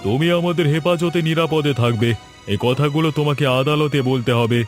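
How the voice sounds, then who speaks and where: A man's voice: fairly high pitch, moderate pace, faint music behind, close to an everyday voice. A man voicing a stern, righteous police officer in a cartoon, speaking with accusing authority. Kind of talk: Bengali cartoon dialogue.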